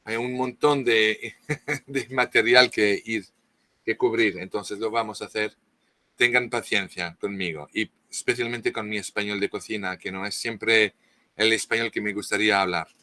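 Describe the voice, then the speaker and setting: A man's voice: lowish voice, fairly even intonation, bright, forceful tone. A middle-aged man from France with a French accent, speaking as a guest author and lecturing at length with frequent restarts and fillers. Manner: halting, many restarts and fillers. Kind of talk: interview, lecturing.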